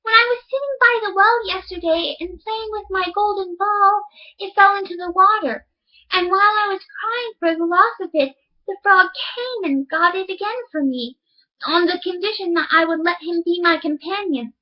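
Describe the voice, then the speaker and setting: Woman playing an anxious, very high-voiced young princess. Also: normal speed, highs cut off. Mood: pleading. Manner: plaintive, exclaiming. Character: anxious young princess, squeamish and easily upset.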